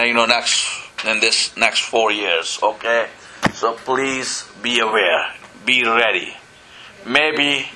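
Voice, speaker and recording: A man's voice: medium pitch, bright, forceful tone, slight background noise, low-quality recording. A man from Pakistan, preaching a sermon in church, earnest and full of "you know" and calls of "Amen?". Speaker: pastor.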